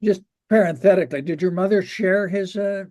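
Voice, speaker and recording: A man's voice: sits high, fairly quick, mellow. A man hosting an interview show, talking conversationally with a wry, jokey manner.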